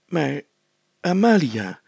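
A man's voice: a little high, very animated, gravelly, a little mellow, husky, no echo, voice pushed up, exaggerated voice. A man voicing a pompous, fatherly and easily flustered village mayor in a storybook, stammering and drawing out his phrases. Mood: concerned. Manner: stammering, deliberate, drawn-out phrasing.

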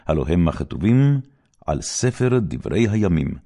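Deep-voiced man reading scripture, dramatically inflected. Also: sing-song, dark tone. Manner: solemn, declamatory, measured phrasing with dramatic emphasis. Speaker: audiobook Bible reader.

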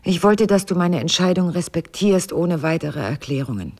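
Weary, guarded wife. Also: female voice, on the low side, expressive. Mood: weary, bitter. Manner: measured, evasive, dryly sardonic, often dropping to a hush. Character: guarded, weary wife burdened by a painful secret. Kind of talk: naturalistic German radio drama acting.

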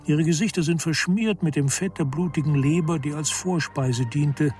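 Higher-pitched male radio play narrator. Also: moderate pace, darkish tone, soft background music. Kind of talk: scripted literary narration.

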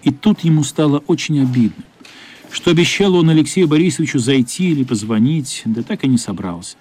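A man narrating a literary radio play in a measured, somber, dramatic style. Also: on the high side, lively intonation, a little mellow, slightly rough. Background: faint music.

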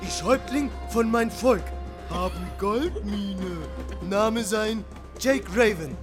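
High-voiced proud chief, halting broken speech. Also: male voice. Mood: proud, declaring. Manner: halting, ungrammatical foreigner's speech. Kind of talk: radio play acting. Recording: music behind the voice.

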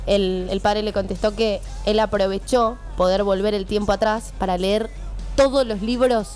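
A woman's voice: mid-range voice, steady tone, normal speed, darkish tone, faint music behind. Chatty Rioplatense woman film columnist. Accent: Rioplatense Spanish. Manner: chatty, laughing, storytelling. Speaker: film columnist on radio show. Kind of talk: casual radio banter.

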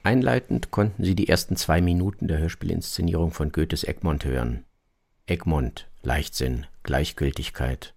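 Man, radio presenter, scripted introduction, deep voice, gravelly creak, measured explanation. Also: darkish tone.

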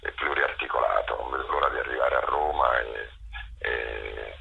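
Very deep, creaky man, hesitant and pausing. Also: fairly even intonation, phone-quality sound. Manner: hesitant, pausing, searching for words. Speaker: theatre actor-director, interview guest. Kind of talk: thoughtful interview conversation.

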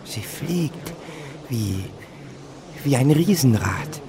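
An actor playing a timid, wondering young companion of a naturalist in a German radio play, speaking in a naive, anxious way. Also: male voice, mid-range voice, lively intonation, very slow, noisy background.

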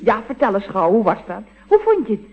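Curious eager office colleague in old radio comedy, really high voice, muffled recording. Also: male voice, big pitch swings, quick pace, breathy edge, soft background music, full character voice.

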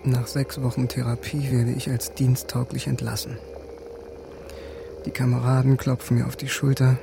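An actor playing a cold, haunted soldier who narrates in a terse, detached, deliberate way in a radio drama. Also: male voice, medium pitch, flat delivery, gravelly, noisy background, close to an everyday voice. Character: cold, haunted male soldier turned lone avenger. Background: music.

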